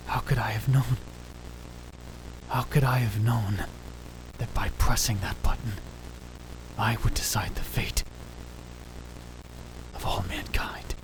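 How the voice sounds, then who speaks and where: A man voicing a dramatic young self-styled mad scientist, narrating in the first person in a hushed, grave, theatrical way. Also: on the low side, noisy background, lightly acted. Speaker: narrator and lead in fan dub. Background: music.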